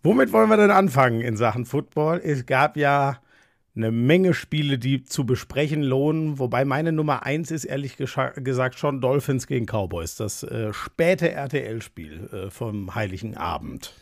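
A man's voice: medium pitch, expressive, moderate pace, no room sound. Chatty man, dry close sound. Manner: chatty and rambling, many fillers. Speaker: podcast co-host. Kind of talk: casual sports podcast chat. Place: room in a family home.

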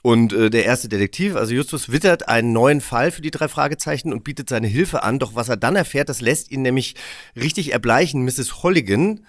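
A man of average pitch hosts a conversational interview. He explains and asks questions in an everyday way. His voice is recorded very dry, without any room sound. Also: lively intonation, fairly quick, no room sound. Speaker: interview host. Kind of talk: conversational podcast hosting.

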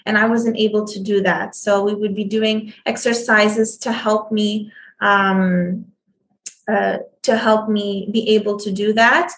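A woman guest telling her story in an interview, speaking haltingly with many fillers and restarts. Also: medium pitch, level tone, echoey room, a little hiss or hum. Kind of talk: conversational interview.

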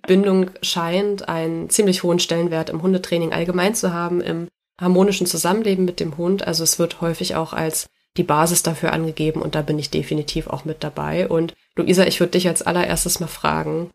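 A woman's voice: on the low side, fairly quick, gravelly. Stuffy-nosed woman hosting a dog podcast. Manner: relaxed, chatty, laughs easily, thinks aloud. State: has a cold, stuffy nose. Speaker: dog trainer and podcast host. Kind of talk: casual podcast chat.

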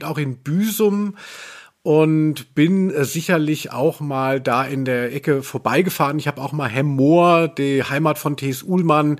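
Higher-voiced man in casual podcast chat. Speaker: podcast host.

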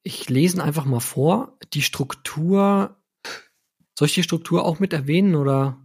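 A man's voice: on the high side, a little slow, slightly rough. A man chatting casually as co-host of a podcast. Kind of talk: casual podcast chat, reading out own lyrics.

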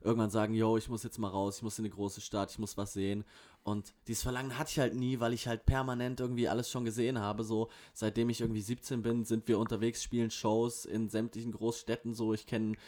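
A man talking in a casual chat, rambling in relaxed slang with many fillers, recorded in an apartment kitchen. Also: fairly low pitch, fast. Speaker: rapper guest.

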